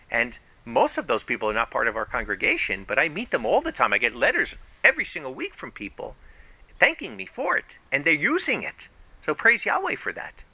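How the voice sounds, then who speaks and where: A man preaching a sermon to his congregation in an animated, conversational way, often raising his voice for emphasis. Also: medium pitch, gravelly, dry recording, low-quality recording. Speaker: pastor.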